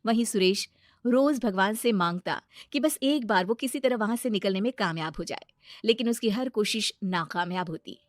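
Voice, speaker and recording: A woman's voice: medium pitch, moderate pace, dry recording, studio quality. A woman narrating a scripted moral story.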